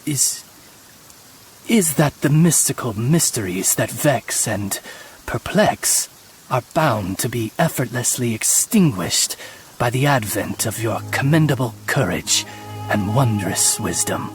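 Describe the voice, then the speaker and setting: A man's voice: mid-range voice, lively intonation, takes it slowly, poor audio. A man voicing a formal, loyal raven servant in a video game, with courtly, ornate diction. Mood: flustered, diplomatic. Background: game music.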